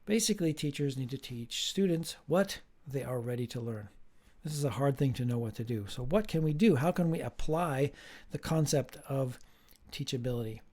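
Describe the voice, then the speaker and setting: A man, a professor, giving a slow, emphatic recorded lecture with playful asides. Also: medium pitch, a little mellow.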